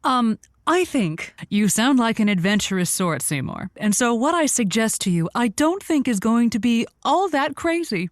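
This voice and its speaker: A woman playing a worldly, encouraging radio advice host in a comedy audio drama, with playful, halting delivery. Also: on the high side, sing-song, no room sound, clean studio sound.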